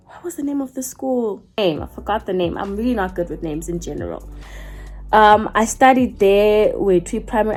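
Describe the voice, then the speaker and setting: A woman's voice: mid-range voice, a little mellow. A South African woman in her twenties, talking casually to camera, rambling and correcting herself as she goes. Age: 20s. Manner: chatty, rambling, self-correcting. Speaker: YouTuber. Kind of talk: casual get-to-know-me chat.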